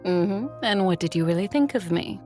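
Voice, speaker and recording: Woman doing haughty old vampire conqueror lady, kinda low voice, scornful, audio drama w music behind. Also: animated, normal speed, darkish tone, lightly acted. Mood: wry, haughty. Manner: imperious, disdainful. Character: haughty two-thousand-year-old female vampire conqueror. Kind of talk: audio drama narration and acting. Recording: background music under voice.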